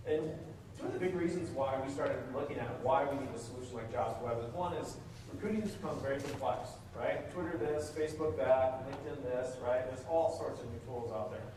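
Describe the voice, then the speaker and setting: A man giving a fast, energetic, interactive presentation to a room of people. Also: medium pitch. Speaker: conference speaker. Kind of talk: live presentation talk. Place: meeting room with audience. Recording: echoey room from far off with noise.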